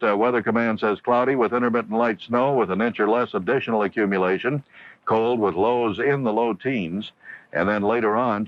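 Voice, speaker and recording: An American man reads news and weather as a radio anchor. His voice is on the low side, recorded in a dry studio. The AM broadcast dulls the sound. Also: dry recording, highs cut off.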